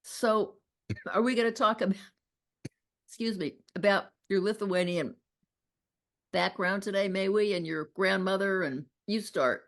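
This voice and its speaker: A woman hosting an interview, asking her questions haltingly as she searches for words. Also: mid-range voice. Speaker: interview host. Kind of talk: conversational interview.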